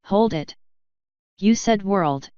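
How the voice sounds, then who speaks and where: A woman's voice: medium pitch, animated, slow pace, darkish tone, clear, resonant tone, no echo, highs cut off. A woman voicing a curious, sarcastic student of magic from another world, blunt and casual, in an audiobook. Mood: baffled.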